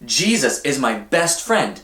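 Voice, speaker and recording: A man voicing a brash, headstrong fisherman disciple in a children's storybook, emphatic and drawn-out in his insistence. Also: mid-range voice, big pitch swings, sharp tone, reverberant, exaggerated voice. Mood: insistent.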